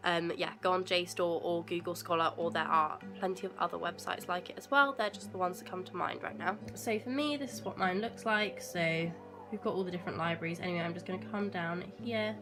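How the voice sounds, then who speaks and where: A woman's voice: lowish voice, fairly even intonation, fairly quick, music in the background. A young woman from England, a university student, chatting casually in a rambling way with a stuffy nose from a cold, at home. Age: young adult. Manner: chatty and rambling, many fillers and asides. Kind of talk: casual vlog chat. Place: at home indoors.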